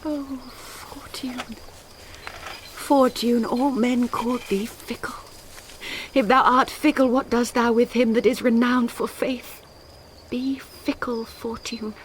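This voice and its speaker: An actress playing a passionate, grief-stricken young noble bride in a classical Shakespearean radio drama, with earnest poetic delivery. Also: female voice, fairly high pitch, fairly even intonation, takes it slowly, a little hiss or hum. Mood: sorrowful.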